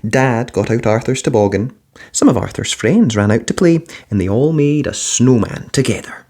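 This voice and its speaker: A man reading a children's picture book aloud in a gentle, expressive bedtime-story manner. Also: medium pitch, normal speed.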